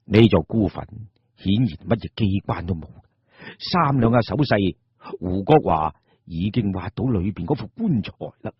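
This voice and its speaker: A male storyteller with a very husky, rough voice narrates radio drama. His delivery is colloquial and dramatic with lively swings in pitch, in a dry recording. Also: normal speed, no echo, lo-fi sound.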